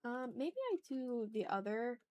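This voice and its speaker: A woman's voice: fairly high pitch, steady tone, a little slow, darkish tone. A woman chatting casually on an art livestream, thinking aloud with self-deprecating remarks and some swearing. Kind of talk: casual livestream chat while drawing.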